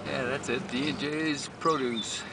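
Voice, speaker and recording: A man's voice: mid-range voice, fairly even intonation, measured pace. A man giving rambling, casual commentary from inside a moving car as a support driver, thinking aloud about times and miles. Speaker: support driver narrating a charity bike ride. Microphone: dashboard camera mic. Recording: distant voice, loud road noise.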